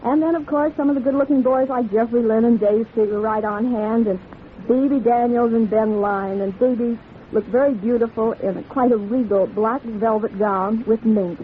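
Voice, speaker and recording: A woman's voice: fairly even intonation, normal speed. A woman giving gushing, admiring live fashion commentary as a correspondent on a 1940s radio broadcast. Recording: vintage radio broadcast, narrow band, hissy rumble.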